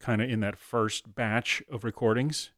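A man hosting a radio program in a studio, talking with a guest in an easygoing, chatty way. His voice sits at a middle pitch and sounds dry and close to the microphone. He wanders a little as he speaks and leans on fillers. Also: fairly even intonation, normal speed, fairly bright tone, no room sound. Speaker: radio host. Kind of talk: casual interview chat.

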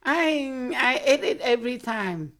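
Vietnamese-accented woman guest, unhurried, halting replies in plain English. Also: high voice, expressive. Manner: short, hesitant answers in simple English. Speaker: guest storyteller. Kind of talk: casual interview.

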